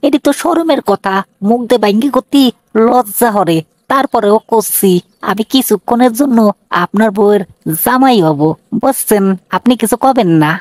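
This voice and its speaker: A man's voice: extremely high pitch, lively intonation, moderate pace, a little mellow, no echo. A man voicing a bullying, lewd cartoon gangster with a rural Bangladeshi accent, taunting and domineering. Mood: brazen, insulting. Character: brazen bullying male slum gangster, lewd and menacing. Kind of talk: cartoon villain dialogue.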